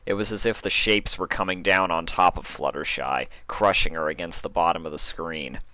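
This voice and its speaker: A man's voice: low-pitched, normal speed, pushed and bright, no echo. A man narrating a written story as a dramatic reading. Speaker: fan fiction reader.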